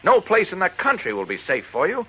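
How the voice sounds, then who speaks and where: A man's voice: sits high, wildly swooping pitch, fast, husky, full character voice. A man acting in a 1940s radio drama as an earnest, resolute, persuasive man, on a muffled old recording. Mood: earnest, urgent. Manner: persuasive, emphatic. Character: earnest, resolute man urging a frightened family to stand firm.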